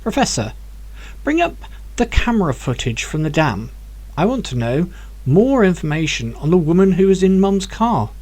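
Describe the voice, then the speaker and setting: Brief: man doing an impatient young hero, pitched up, lively, pushing questions. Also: normal speed. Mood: eager. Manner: raised, eager questioning.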